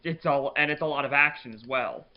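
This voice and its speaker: A man's voice: medium pitch, brisk, muffled recording. A man talking casually as a podcast co-host.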